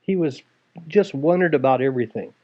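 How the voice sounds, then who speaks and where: A man's voice: a little high, fairly quick, a little mellow. A man reading a story aloud to a child, expressively, with casual asides. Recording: dull lo-fi home recording.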